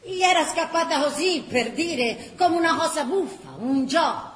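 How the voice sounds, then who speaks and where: Bright, high Tuscan storyteller. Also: female voice, moderate pace, roomy sound, long reverb tail. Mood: wry narration. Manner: earthy, expressive. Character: animated Tuscan storyteller mimicking scandalized villagers. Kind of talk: theatrical storytelling for radio.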